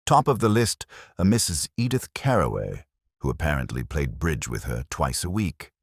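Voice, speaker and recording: A man's voice: extremely low pitch, sing-song, normal speed, creaky, slightly rough, no room sound, studio quality. A man reading scripted first-person hardboiled detective narration as an audiobook narrator.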